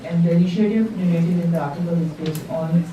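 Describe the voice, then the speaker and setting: A woman from Pakistan presents her paper to a workshop, her voice slightly low. She is recorded from far off in a very echoey room, with a dull sound and clear background noise. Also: fairly quick, warm tone.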